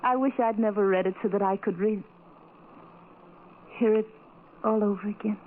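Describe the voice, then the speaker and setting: A woman's voice: mid-range voice, fairly even intonation, moderate pace, close to an everyday voice. An actress playing a tender, adoring young blind woman in an old-time radio drama, speaking earnestly and romantically. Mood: gentle, coaxing. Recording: tinny, noisy old radio recording.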